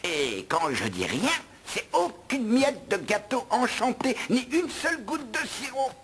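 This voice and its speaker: A man voicing a grumpy, gluttonous house elf in an animated film dub, with a theatrical, grumbling, wry delivery. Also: extremely high pitch, unhurried, slight background noise, full character voice. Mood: solemn, knowing.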